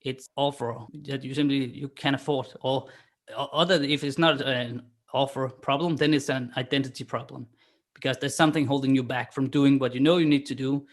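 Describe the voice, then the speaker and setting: A man's voice: mid-range voice, fairly even intonation, a little fast. A man from Denmark with a Danish accent, speaking English as a second language, explaining his work as a podcast guest with frequent restarts and fillers. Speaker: guest, online marketer. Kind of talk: podcast interview.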